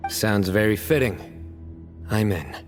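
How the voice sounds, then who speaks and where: A stern, resolute young judge from a video game. His voice sits very low and stays composed and serious. Soft music runs behind it. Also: lively intonation, takes it slowly. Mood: calm, determined. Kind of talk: video game character acting.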